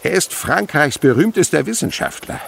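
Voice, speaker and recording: An actor playing an excitable middle-aged scientist in a radio drama, proud and fatherly, muttering and repeating himself. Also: on the high side, normal speed, gravelly, slight background noise, heavily acted. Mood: astonished, agitated.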